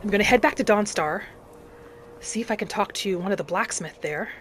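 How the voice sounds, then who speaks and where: A woman voicing an earnest, vengeful young battle mage in a dramatic, deliberate first-person fantasy roleplay. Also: mid-range voice, fairly bright tone, a little hiss or hum, close to an everyday voice. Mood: resolute, brooding. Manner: dramatic, deliberate, thinking aloud in character.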